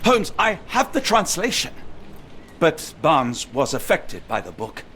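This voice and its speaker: An actor playing a loyal, steadfast companion in a video game, speaking earnestly and formally with a British accent. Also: male voice, fairly high pitch, huge pitch swings, measured pace, sharp tone, a little hiss or hum, exaggerated voice. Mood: concerned. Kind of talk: dramatic video game voice acting. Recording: music behind the voice. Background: game music.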